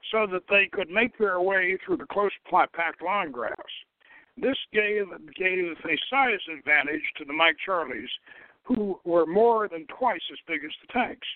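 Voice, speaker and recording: A man's voice: high-pitched, no echo. A man giving a dramatic reading of satirical science fiction, stumbling over words now and then and correcting himself. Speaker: host reading his own novel. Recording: lo-fi phone-like radio line.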